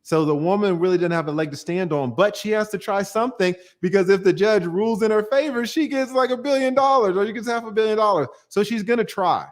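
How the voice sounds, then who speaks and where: A man's voice: sits high, fast, hardly any pauses. A man, a divorce attorney, explaining the law in a plain-spoken way on a call-in livestream and using everyday examples.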